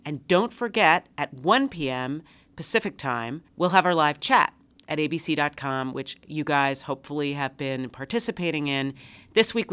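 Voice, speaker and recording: A woman's voice: sits low, fairly bright tone, clean tone. A woman hosting a podcast, nasal from a cold, bubbly and gushing with superlatives. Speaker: podcast host. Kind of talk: casual podcast chat.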